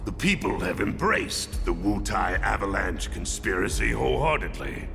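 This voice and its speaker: An actor playing a gruff, impatient, blustering security boss in a dramatic video game cutscene, with a gravelly voice. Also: male voice, deep voice, big pitch swings, moderate pace, in a large hall, music in the background, exaggerated voice. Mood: smug.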